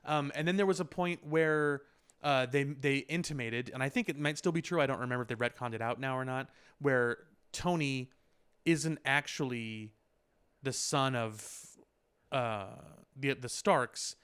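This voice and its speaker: Male podcast co-host, smooth clear mid-range voice, loose casual explainer. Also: medium pitch, a little slow, fairly bright tone. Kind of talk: casual pop culture discussion.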